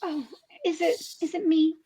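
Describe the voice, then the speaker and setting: A woman playing an anxious, earnest farm girl in a comedic radio play, speaking in breathless, halting, repeated phrases. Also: very high voice, fairly even intonation, mellow. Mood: worried.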